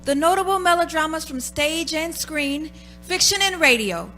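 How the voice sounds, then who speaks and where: A woman's voice: high-pitched, lively intonation, moderate pace, bright, forceful tone, soft background music. A woman announcer giving a scripted, formal and dramatic introduction to a radio play.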